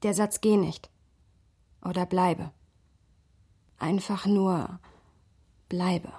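Radio drama role: earnest, bookish young woman, slightly husky voice, intimate dry sound, reflective delivery. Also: fairly low pitch, steady tone, drawn-out words, warm tone, no echo, exaggerated voice. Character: Sophia, thoughtful passionate literary young woman. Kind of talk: radio drama narration and dialogue.

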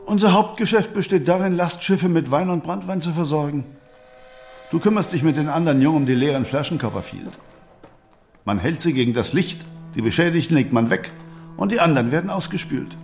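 Businesslike warehouse manager, radio drama: animated, fairly high voice, matter-of-fact instructions, faint music. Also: male voice, a little fast. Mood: brisk, businesslike. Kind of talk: German radio drama acting.

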